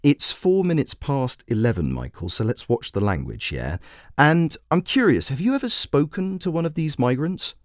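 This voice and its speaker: A man voicing a brisk female radio phone-in host who questions and persuades in a measured way. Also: mid-range voice, very animated, dry recording, studio quality, natural voice. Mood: firm, challenging. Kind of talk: talk radio presenting.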